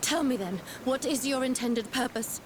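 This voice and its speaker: A woman's voice: sits high, moderate pace, natural voice. A woman playing a guarded, self-assured scholar in a video game, sardonic and articulate with formal phrasing. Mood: wary. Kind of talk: video game cutscene acting. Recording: clear ambient noise behind.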